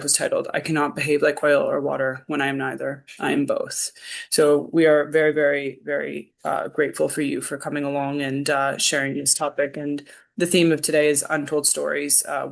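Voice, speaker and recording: Gravelly, hesitant woman host introducing a speaker. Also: low voice. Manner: warm, slightly hesitant, with fillers. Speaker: seminar host.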